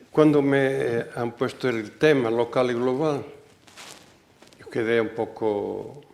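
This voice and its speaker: An older Portuguese man speaking at a public talk, first in hesitant Spanish with a Portuguese accent, then reading a prepared text. He restarts his words often and drops in dry jokes at himself. His voice sits at an ordinary middle pitch. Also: creaky. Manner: halting, self-correcting, with wry humor. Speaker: guest architect speaker. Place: lecture hall.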